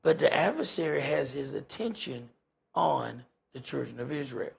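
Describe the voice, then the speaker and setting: Gravelly, husky preacher. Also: male voice, mid-range voice, lively intonation, normal speed. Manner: emphatic, rhetorical questions, folksy phrasing. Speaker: preacher leading a Bible study. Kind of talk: conversational sermon with scripture commentary.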